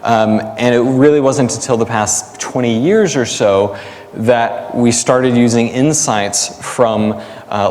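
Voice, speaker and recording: A male academic answers interview questions in a big reverberant space. His voice sits at an average pitch, and he works through explanations aloud, leaning on everyday examples like a teacher with students. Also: darkish tone, slight background noise. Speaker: academic guest, biblical language scholar and teacher. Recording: echoey hall, long reverb.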